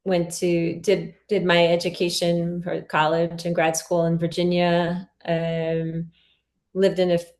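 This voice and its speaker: A woman from New Jersey giving a casual home tour and interview, chatty and halting, laughing easily. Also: lowish voice, monotone, darkish tone. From New Jersey, USA. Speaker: interview guest and expat homeowner.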